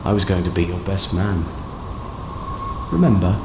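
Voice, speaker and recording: A man acting in an audio drama as the vengeful, accusing ghost of a dead friend, speaking in taunting, deliberate questions. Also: deep voice, animated. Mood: cold, taunting. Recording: roomy echo with clear noise.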